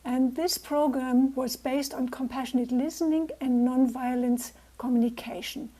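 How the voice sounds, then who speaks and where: A woman in her sixties from Germany, with a German accent, speaking gently and reflectively as a guest speaker to a club. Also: high-pitched, steady tone, normal speed. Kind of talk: personal talk to a club.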